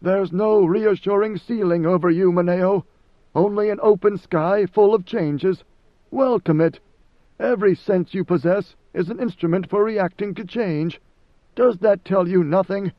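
A man voicing a commanding ancient god emperor who speaks in a grave, measured, lecturing way. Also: high voice, dry recording, lo-fi sound, voice pushed up. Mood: stern.

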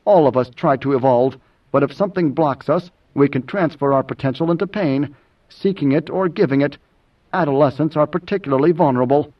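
A man voicing a world-weary older majordomo with a measured, cutting, lecturing manner and quiet command, in an audiobook. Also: a little high, recorded from a distance, highs cut off, natural voice.

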